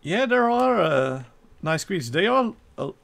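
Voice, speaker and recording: A man's voice: high voice, sing-song, measured pace, gravelly, fairly bright tone. A man with a Dutch accent chatting with viewers and teammates while streaming a game live, laughing often. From Netherlands. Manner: chatty, laughs often, reads chat aloud. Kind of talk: live gameplay chat.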